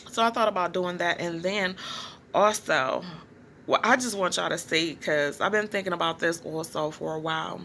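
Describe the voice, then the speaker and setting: A middle-aged woman and small business owner talking casually to her viewers in a rambling way, with many fillers and pauses. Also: fairly low pitch, fairly bright tone, slight background noise. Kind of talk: casual vlog monologue.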